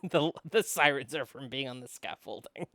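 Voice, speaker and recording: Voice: mid-range voice, moderate pace, fairly bright tone. A co-host chatting and reacting casually on a stream where visual novels are read aloud.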